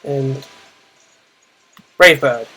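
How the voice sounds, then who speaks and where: A young Australian man with a naturally loud voice, casually narrating his own Pokemon battle, thinking aloud with fillers. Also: mid-range voice, drawn-out words, husky. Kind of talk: casual play-by-play gaming commentary.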